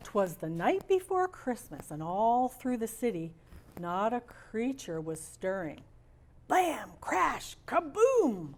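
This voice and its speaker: A woman reading aloud expressively and deliberately as a storyteller in the role of Mrs. Claus, with bits of casual chat. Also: on the high side, very animated, a little mellow.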